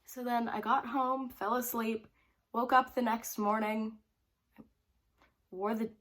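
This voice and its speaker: A woman's voice: fairly high pitch, fairly even intonation, slow pace, a bit bright. A teenage girl vlogger casually recounting a story to camera, bubbly and rambling, with frequent "like" fillers and giggles between phrases. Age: teens.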